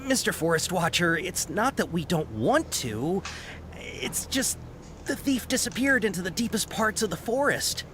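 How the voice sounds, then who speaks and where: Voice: medium pitch, lightly acted. An actor playing a hesitant, fawning caravan merchant. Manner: hesitant, full of "uh"s, flattering. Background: game music.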